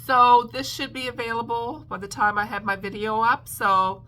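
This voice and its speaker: A woman's voice: fairly high pitch, flat delivery, normal speed, sharp tone, rough recording. A woman crochet vlogger chatting casually at home, stuffed up and congested, rambling with lots of ums and little laughs. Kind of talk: casual chat to camera.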